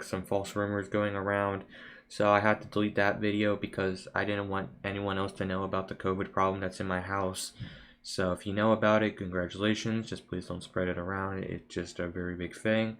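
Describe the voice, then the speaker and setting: Low-voiced young American man, rambling casually. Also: a bit bright, a little hiss or hum. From United States. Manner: informal, rambling, offhand. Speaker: video creator. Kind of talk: casual rambling commentary to viewers. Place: room at home.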